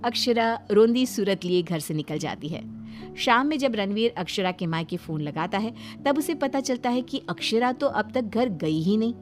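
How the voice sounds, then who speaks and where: A woman's voice: mid-range voice, animated, moderate pace. Woman narrating over faint music. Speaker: story narrator. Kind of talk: scripted cartoon story narration.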